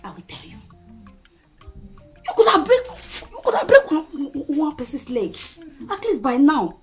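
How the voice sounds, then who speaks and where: Woman as a high, animated Pidgin-speaking gossip, blunt, faint music. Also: normal speed. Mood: indignant. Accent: Nigerian Pidgin. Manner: blunt, dramatic. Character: gossipy hot-tempered young woman friend.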